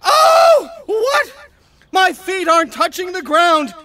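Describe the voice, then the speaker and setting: A man playing an excitable alien disguised as a little dog, theatrical and exclamatory, in a sci-fi audio drama. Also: very high voice, slow pace, shouting, a little hiss or hum, full character voice. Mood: amazed, excited. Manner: theatrical, exclamatory, arguing with himself.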